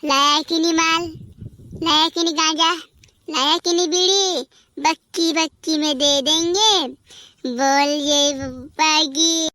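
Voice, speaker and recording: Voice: fairly even intonation, takes it slowly, pauses often, pushed and bright, no room sound, heavily acted. A performer voicing an emphatic, bossy little cartoon rascal. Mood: rowdy, boastful. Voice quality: squeaky, artificially high voice. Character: squeaky, scolding cartoon buddy and comic small-time rascal. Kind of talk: amateur comedy cartoon dub.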